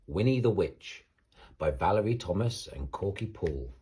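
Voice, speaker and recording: Male storybook reader, low husky voice, lively swings in intonation, theatrical bedtime delivery for children. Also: measured pace, a little hiss or hum. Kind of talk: expressive bedtime story narration.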